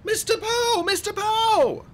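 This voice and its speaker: A man's voice: very high-pitched, very animated, normal speed, pushed and bright, a little hiss or hum, pitched above the performer's own voice, full character voice. A man voicing an earnest, determined young girl in an audiobook story. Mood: relieved, urgent.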